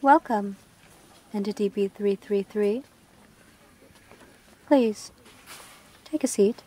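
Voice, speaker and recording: A woman playing a stern, measured supervisor of a secretive facility in an audio drama, formal, clipped and authoritative. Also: medium pitch, mellow, husky. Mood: formal, cool. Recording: prominent musical backing. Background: plucked guitar music.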